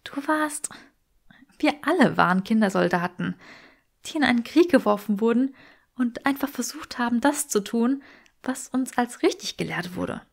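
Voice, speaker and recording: Woman voicing a soft, breathy, earnest young healer woman. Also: fairly high pitch, lively intonation, moderate pace, voice pushed up. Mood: earnest, pleading. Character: gentle, forgiving, weary young woman healer.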